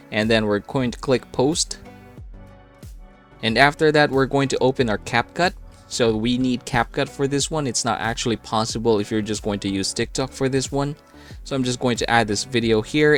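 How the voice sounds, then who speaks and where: A man narrating a step-by-step app tutorial in a casual, instructional way. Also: mid-range voice. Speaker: tutorial host.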